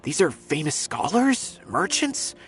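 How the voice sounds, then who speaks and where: An actor voicing an earnest, loyal young man troubled by his investigation in a video game, halting when upset. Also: a little high, big pitch swings, a bit bright, a little hiss or hum, full character voice. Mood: grave dismay.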